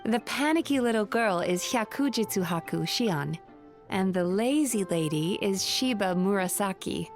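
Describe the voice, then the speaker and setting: A woman voicing a poised, polite and gently teasing bathhouse spirit in an anime-style video game. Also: medium pitch, animated, normal speed, lightly acted. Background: background music.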